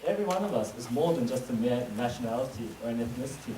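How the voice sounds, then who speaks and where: A young man from New Zealand giving a reflective, measured spoken-word monologue on a school stage. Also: medium pitch, lively intonation. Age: young adult. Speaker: student speaker. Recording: echoey hall recorded from far off, noisy.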